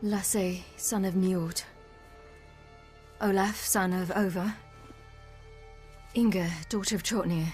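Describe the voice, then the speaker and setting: A woman's voice: medium pitch, fairly even intonation, slow pace, pauses often, a little mellow, faint music behind, lightly acted. An actress voicing a headstrong, hurt young woman with magic powers in a fantasy video game, intense and blunt. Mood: troubled, determined.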